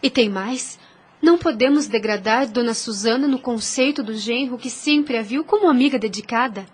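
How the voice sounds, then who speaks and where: Actress as a high-voiced, gentle, devout young woman. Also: moderate pace, husky. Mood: calm, resolute. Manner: earnest, formal, pleading. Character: devout, gentle, self-sacrificing young woman, quietly firm. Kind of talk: audio drama acting.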